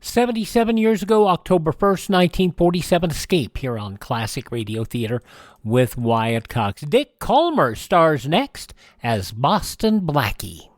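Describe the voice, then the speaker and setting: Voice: medium pitch, expressive, unhurried, dry recording, studio quality. A podcast host giving a brief, upbeat outro. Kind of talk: casual show outro.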